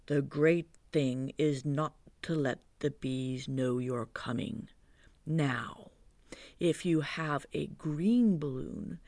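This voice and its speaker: A storybook reader voicing a gentle, slow-witted toy bear who talks in a soft, breathy half-whisper, slow and thoughtful. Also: mid-range voice, dry recording. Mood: pondering.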